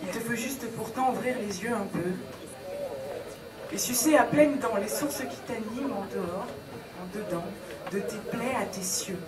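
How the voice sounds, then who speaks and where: A man's voice: sits high, normal speed, over a noisy crowd. A man reciting slam poetry at a live session. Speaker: slam poet. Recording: echoey, distant, audience noise behind.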